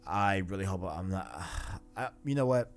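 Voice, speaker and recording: Man, low voice, podcast host, casual gaming talk, enthusiastic, slangy, faint guitar music behind. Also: lively intonation, moderate pace. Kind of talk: casual video game discussion.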